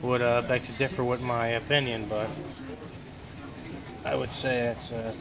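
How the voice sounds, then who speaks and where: A man's voice: medium pitch, level tone, measured pace, a crowd in the background, low-quality recording. A man who talks about gambling casually and confidently in an office. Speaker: gambling personality.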